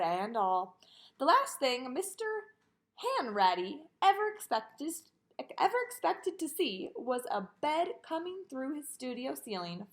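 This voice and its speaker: A woman reading a children's picture book aloud in an expressive way, with sing-song delivery on repeated lists. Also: on the high side. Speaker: storybook reader.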